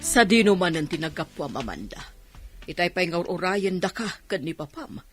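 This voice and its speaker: A woman's voice: fairly low pitch, big pitch swings, normal speed, soft background music. A woman with an Ilocano Filipino accent playing a strict, nagging, worried mother in a radio drama. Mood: scolding.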